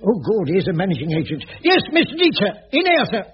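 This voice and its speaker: An actor playing a chirpy, evasive middle-aged caretaker in a radio sitcom, joking and chuckling. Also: male voice, high voice, wildly swooping pitch, brisk, slightly rough, full character voice. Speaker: sitcom lead. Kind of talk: 1980s radio sitcom acting. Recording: dull old radio recording with hiss.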